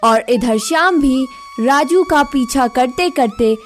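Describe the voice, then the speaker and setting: A woman's voice: high-pitched, animated, slow, soft background music. A woman narrating a scripted moral story for children.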